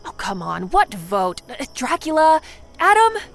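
A hot-headed female monster for radio drama. Her voice is fairly high and very expressive, sarcastic and vengeful, over faint music. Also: a little slow, heavily acted. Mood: pleading, frustrated. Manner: sarcastic, emphatic. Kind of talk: radio drama acting with narration.

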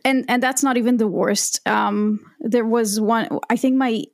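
A woman's voice: on the high side, fairly even intonation, a little slow, slight background noise. A woman, a podcast guest telling her story in a reflective, candid way. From Israel. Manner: reflective and candid, with frequent ums and restarts. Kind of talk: conversational storytelling.

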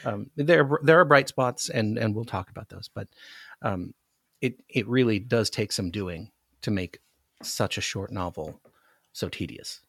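A man's voice: fairly low pitch, measured pace, gravelly, fairly bright tone, no echo, clean studio sound. A man guesting on a podcast, discussing books casually in a wry, emphatic way. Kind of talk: casual book discussion chat.

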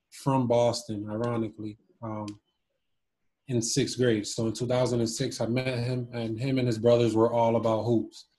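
A man in his twenties speaks as a guest in a casual interview. His voice is soft-edged and mellow, at an average pitch with little rise and fall. He is thoughtful and a bit hesitant, feeling his way through his answers. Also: poor audio. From Cuba and Miami. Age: 20s. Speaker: interview guest, former basketball player. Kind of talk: casual reflective interview chat.

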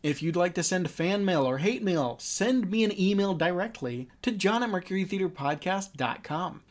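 A man's voice: high voice, animated, moderate pace. A man speaking as a podcast host, addressing guest and listeners. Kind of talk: podcast interview and scripted outro.

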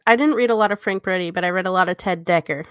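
A woman's voice: fairly low pitch, very fast, clear, resonant tone, no room sound, studio quality. A woman co-hosting a casual book discussion, explaining her points in a conversational way. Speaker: podcast co-host and editor.

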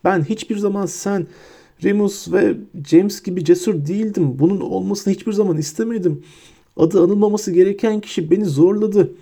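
A man voicing a sniveling, terrified middle-aged traitor who begs and stammers in a high, whining voice. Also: moderate pace, mellow. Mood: pleading.

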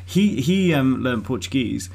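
A man's voice: medium pitch, lively intonation, measured pace, gravelly, darkish tone. A man hosting a podcast, chatting eagerly in a loose, casual way. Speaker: podcast host.

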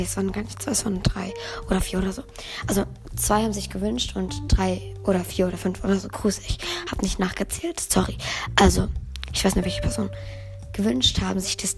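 A hoarse teenage girl hosting her own podcast, chatting casually and rambling, often correcting herself. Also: medium pitch. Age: teens. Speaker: podcast host. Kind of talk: casual solo podcast chat.

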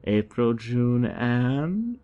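A man's voice: medium pitch, sing-song, extremely slow, dark tone, dull audio. A man voicing a taunting imprisoned killer obsessed with dates, in a sly, teasing sing-song. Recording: faint music behind.

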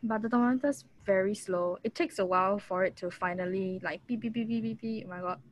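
A young woman with a Singaporean accent, co-hosting a casual podcast, chatty and quick to laugh, dropping Singlish particles. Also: medium pitch. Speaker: podcast co-host. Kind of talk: casual chat.

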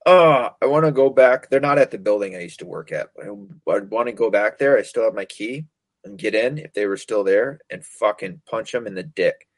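A man's voice: fairly low pitch, expressive, a little fast, no room sound. A man from the United States hosting a casual talk show, ranting sarcastically, swearing constantly and stumbling over hard words. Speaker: podcast host. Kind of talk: casual profane rant.

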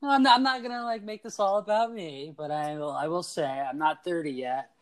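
A young man in his twenties hosts a sports podcast. His voice sits a touch high, and he talks in a lively, teasing way, full of quick asides. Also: clean tone. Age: 20s. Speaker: podcast host. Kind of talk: casual sports podcast chat.

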